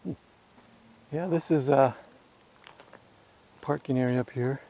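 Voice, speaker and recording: A man's voice: mid-range voice, takes it slowly. A man vlogging a road trip, chatting casually as he drives a mountain road and then walks his dog outdoors. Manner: relaxed, rambling commentary with fillers. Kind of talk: casual narration while driving and walking. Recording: noisy car and outdoor recording. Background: car and road noise.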